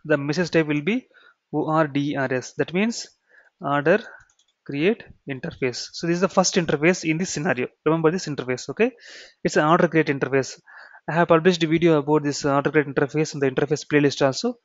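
A man with an Indian accent giving an explanatory software tutorial, repeating key phrases and checking in with "okay" and "right". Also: fairly high pitch, brisk, no echo. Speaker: software trainer. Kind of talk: explanatory screen-share tutorial. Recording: dull, muffled lo-fi sound.

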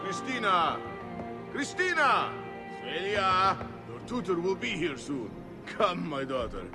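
A man's voice: high-pitched, very animated, takes it slowly, over music, exaggerated voice. An actor playing an outraged, blustering middle-aged Italian father in a video game, with an Italian accent. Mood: coaxing. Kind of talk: video game character acting.

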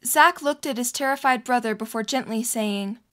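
A woman's voice: on the high side, moderate pace, fairly bright tone. A woman reading scripted story narration as the narrator of an audio drama.

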